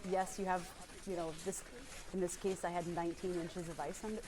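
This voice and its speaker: A woman's voice: fairly low pitch, little pitch movement, brisk, heavy background noise. A woman from Maine, a free diver, telling her story in a casual interview, chatty and rambling with many fillers. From Maine, USA.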